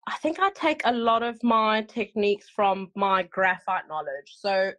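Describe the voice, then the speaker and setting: A woman's voice: medium pitch, unhurried, a bit bright. A woman with a South African twang, a guest artist chatting casually on a podcast, rambling with fillers and restarts.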